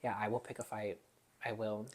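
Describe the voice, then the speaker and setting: A young woman in her twenties with a Texas accent talks about her nightlife job in a loose podcast chat. Her delivery is animated and chatty, and she laughs easily. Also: extremely low pitch, brisk. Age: 20s. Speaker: podcast guest, bartender. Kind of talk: casual chat.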